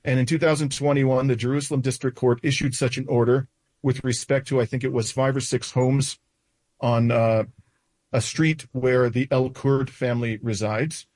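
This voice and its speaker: A man in his sixties with a North American accent recounts events in an interview, pausing often with uhs and restarts. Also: medium pitch, fairly even intonation, darkish tone, no room sound. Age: 60s. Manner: halting, with frequent uhs and restarts. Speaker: interview guest.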